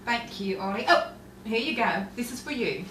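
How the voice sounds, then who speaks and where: An actress playing an attentive, teasing sister in a nostalgic radio drama, with a brisk, fussing, conversational manner. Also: female voice, fairly high pitch, expressive, a bit bright. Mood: fussing, friendly. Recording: roomy, distant, noisy live stage recording.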